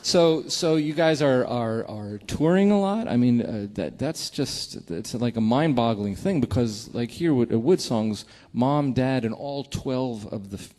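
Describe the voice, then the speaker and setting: Guy hosting a radio show, normal pitch, loose chatty interview style, kinda jokey and teasing. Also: expressive, a little slow, hardly any pauses, warm tone. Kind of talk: casual on-stage interview.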